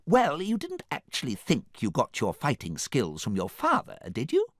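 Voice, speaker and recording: A man's voice: medium pitch, wildly swooping pitch, moderate pace, dry recording, clean studio sound, pitched above the performer's own voice. A man voicing a spry, cheerful elderly queen in a storybook, with a breezy, playful manner. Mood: wry amusement. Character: spry, cheerful older queen, a motherly old lady.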